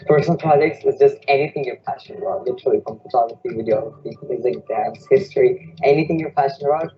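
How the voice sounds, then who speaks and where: A man's voice: medium pitch, fast talker, soft background music. A teenage boy student talking casually, with lots of "like" and "you know". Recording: dull lo-fi audio with crowd.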